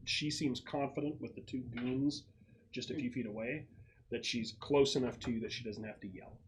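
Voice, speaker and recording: A man runs a tabletop roleplaying game in a medium-pitched voice. He narrates conversationally, feeling his way through explanations and thinking aloud. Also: moderate pace, a little hiss or hum, rough recording. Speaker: game master.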